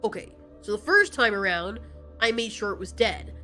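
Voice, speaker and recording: A woman voicing a callous male giant reptilian monster in an audio drama, deadpan, dismissive and sarcastic. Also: medium pitch, normal speed, soft background music. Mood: matter-of-fact. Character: cocky, callous male giant reptilian kaiju, coolly menacing.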